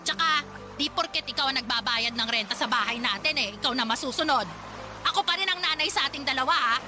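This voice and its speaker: A woman's voice: high-pitched, quick pace, natural voice. An actress playing a brash middle-aged mother in a Tagalog radio drama, scolding and sarcastic in defence of her younger boyfriend. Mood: indignant scolding. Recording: loud music bed behind the dialogue.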